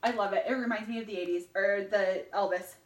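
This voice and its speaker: A woman's voice: medium pitch, steady tone, quick pace. A young woman co-hosting a book review, chatting informally and expressively with personal asides. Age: young adult. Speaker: co-host of a book review channel. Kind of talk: casual chat, personal anecdotes. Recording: roomy indoor echo.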